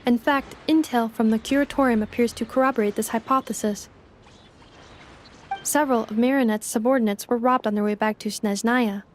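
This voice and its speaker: A woman plays a polite female robot helper from a video game. The voice is fairly high, formal and precise, composed and literal, with some noise behind it. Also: darkish tone, natural voice.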